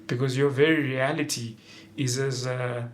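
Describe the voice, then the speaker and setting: A man, an academic, answers interview questions in a lecturing tone. His voice is mid-pitched, and he often stops to hesitate. He builds his arguments slowly. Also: steady tone, a little hiss or hum. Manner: lecturing, with frequent "um" pauses. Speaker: academic and PhD researcher. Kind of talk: documentary interview.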